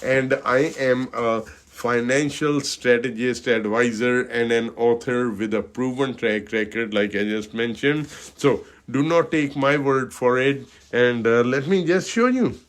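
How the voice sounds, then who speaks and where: A middle-aged man from India with an Indian accent, presenting a webinar as a financial advisor in a halting style full of fillers like "you know, okay". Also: mid-range voice.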